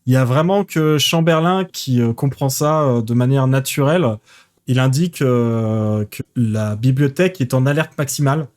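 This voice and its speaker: A man narrating a tabletop role-playing game as game master, pausing often with fillers. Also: medium pitch, darkish tone. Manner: hesitant, many fillers.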